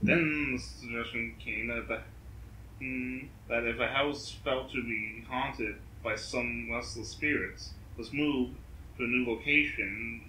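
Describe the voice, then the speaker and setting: A man reading a ghost story from a book, a little haltingly. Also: medium pitch, fairly even intonation, pushed and bright. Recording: echoey room with faint background noise.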